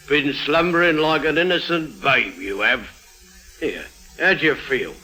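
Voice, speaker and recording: Bright, chummy Cockney tough guy. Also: a little high, takes it slowly, husky, full character voice. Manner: chummy, slangy. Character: big tough but amiable Cockney guard. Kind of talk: 1950s radio drama acting. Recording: hissy old 1950s radio recording.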